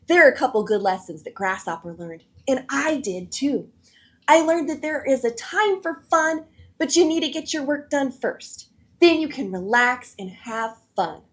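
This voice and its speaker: A woman hosting a children's read-aloud show, addressing young viewers in a friendly, animated, encouraging way. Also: high voice. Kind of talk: scripted presenting to children.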